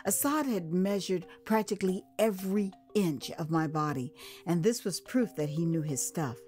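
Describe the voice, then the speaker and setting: An American woman narrating her own memoir as an audio drama, with theatrical, expressive delivery that savours the words. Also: on the low side, normal speed, faint music behind. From United States.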